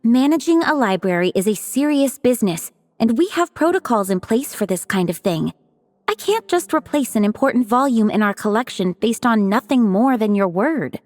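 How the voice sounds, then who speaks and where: A prim female librarian played by an actress in an anime game. Her rather high voice moves in lively swings as she speaks, officious and firm. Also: normal speed, dry recording, lightly acted. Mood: exasperated.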